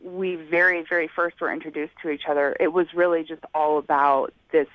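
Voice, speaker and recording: A woman's voice: low voice, steady tone, a little fast, no echo. A woman speaking candidly as a guest over the phone, tactful and hesitant, hedging as she recounts things. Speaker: phone-in guest on a radio segment. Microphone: phone call. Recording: muffled, band-limited phone line.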